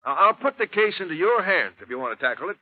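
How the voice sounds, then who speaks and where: Scheming police commissioner, fairly high voice, folksy hesitant bluster, tinny vintage radio drama. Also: male voice, big pitch swings, fast talker. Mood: falsely earnest. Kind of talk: 1930s radio drama acting.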